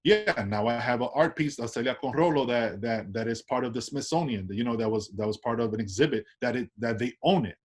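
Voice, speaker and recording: A man with a New York accent talks through an interview in an easy, rambling way. His voice sits at an ordinary pitch, and he keeps checking in with tag questions and starting sentences over. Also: animated, fairly quick, few pauses. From Washington Heights, New York. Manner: loose, tag questions, many restarts. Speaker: artist guest. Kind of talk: casual interview chat.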